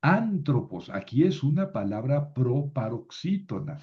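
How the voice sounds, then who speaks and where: A man teaching a class, slowly reciting word forms and thinking aloud as he explains. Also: mid-range voice, sing-song. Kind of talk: slow classroom lesson on grammar. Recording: dull lo-fi recording.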